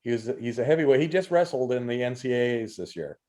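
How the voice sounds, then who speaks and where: A man's voice: medium pitch, expressive, quick pace, darkish tone. A middle-aged man hosting a casual video call interview, chatty and keen on wrestling. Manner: chatty, enthusiastic about wrestling. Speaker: show host. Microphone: video call webcam. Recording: dull lo-fi webcam audio.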